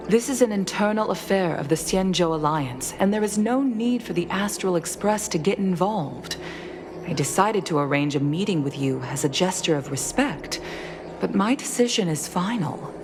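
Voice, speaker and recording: An actress voicing a stern, formal middle-aged female commander in a video game, speaking with measured firmness. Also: fairly low pitch, lively intonation. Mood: stern, accusing. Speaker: guild leader in a sci-fi fantasy game. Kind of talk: scripted video game dialogue. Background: background music.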